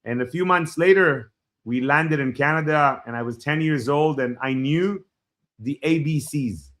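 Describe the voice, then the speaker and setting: A man's voice: a little high, fairly bright tone. Reflective man in his forties. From Israel. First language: Hebrew. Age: 40s. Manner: reflective, halting starts with frequent fillers. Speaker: podcast guest. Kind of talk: personal storytelling in an interview.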